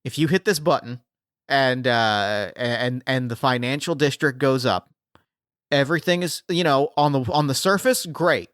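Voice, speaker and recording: A man playing a smooth-talking arms dealer. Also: medium pitch, normal speed, a bit bright, no echo, studio quality, natural voice. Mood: salesy. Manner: smooth salesman patter, placating. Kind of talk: improvised tabletop roleplay.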